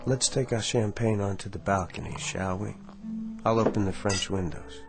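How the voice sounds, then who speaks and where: A smug gravelly suitor. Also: male voice, lowish voice, moderate pace. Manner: confident, smug and insistent. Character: smooth-talking self-made man, menacing suitor. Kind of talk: vintage radio drama acting. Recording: old radio drama with faint music.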